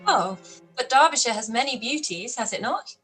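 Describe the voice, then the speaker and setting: A spirited young woman, voiced by an actress, asks curious questions in a high, bright, animated voice. It is a radio play reading. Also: normal speed, faint music behind.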